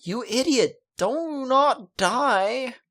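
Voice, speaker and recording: A man's voice: very high-pitched, animated, extremely slow, a bit bright, pitched above the performer's own voice, full character voice. A man voicing an arrogant, angry girl who scolds out of concern, in a visual novel. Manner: scolding, haughty.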